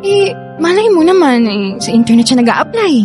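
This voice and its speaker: A woman's voice: sits high, very animated, normal speed, a little mellow, soft background music. A Filipino-accented woman acting in a radio drama as a patient, concerned friend giving sensible advice. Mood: concerned, advising.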